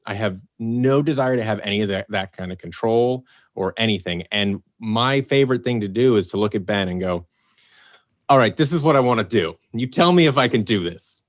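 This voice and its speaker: Guy on a video call, lowish voice, animated storyteller doing little quote voices. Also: brisk. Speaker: podcast guest, head writer. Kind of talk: casual chat on a video call.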